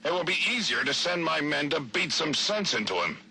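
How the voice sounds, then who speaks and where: An actor playing a resentful, hard-nosed corrupt police official in a video game. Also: male voice, a little high, big pitch swings, fairly quick, gravelly, bright, forceful tone, slightly rough, exaggerated voice. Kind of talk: video game voice acting, audio log.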